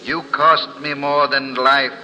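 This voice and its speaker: Slow ghostly man in cavernous reverb acted. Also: mid-range voice, level tone, close to an everyday voice. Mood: grim accusing. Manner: slow, ominous, taunting. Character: menacing ghost of a dead train engineer. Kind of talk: old-time radio mystery acting. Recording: vintage radio, long cavernous reverb, clear noise.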